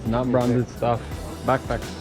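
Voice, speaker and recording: A man's voice: mid-range voice, completely flat delivery, slow. Male travel vlogger with music underneath. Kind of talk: casual vlog talk to camera. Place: outdoor city streets and busy covered bazaar. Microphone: camera held at arm's length.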